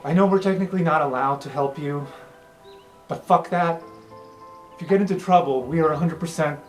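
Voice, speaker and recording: A woman's voice: sits low, brisk, natural voice. A woman playing an awkward, loyal young magic student in a tabletop game, blunt and casually sweary. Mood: earnest, brash. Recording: roomy sound with music underneath.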